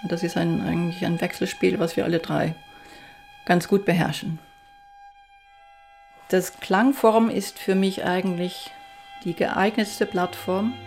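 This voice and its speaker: Middle-aged woman from Austria, violinist, gravelly lower voice, reflective interview tone, faint violin music behind. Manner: reflective, enthusiastic about her work. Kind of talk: documentary interview.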